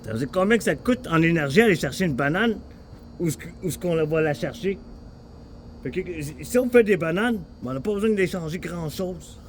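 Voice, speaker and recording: Halting Quebec man, slightly high voice. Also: animated, slight background noise. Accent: Quebec French. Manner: halting, repetitive, informal with swearing. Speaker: vlogger talking with an AI assistant. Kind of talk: casual rambling chat.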